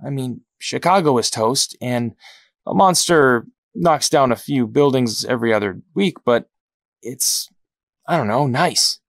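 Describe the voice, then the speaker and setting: Cocky, regretful young hero man performing, audio drama: creaky, casual, halting delivery. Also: medium pitch, animated, no echo. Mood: casual, wry. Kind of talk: amateur audio drama acting.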